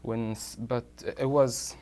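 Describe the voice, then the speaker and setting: Halting young man, flat tone. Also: mid-range voice. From Egypt. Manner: halting, many "uh" fillers. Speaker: interviewee, international student. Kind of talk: interview answers.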